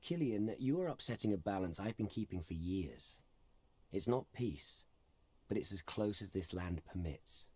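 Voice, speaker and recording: An actor playing a weary, principled knight in a video game, grave and restrained, with a husky voice. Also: male voice, low voice, animated, measured pace, darkish tone, no echo, heavily acted. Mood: reproachful. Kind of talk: video game cutscene acting.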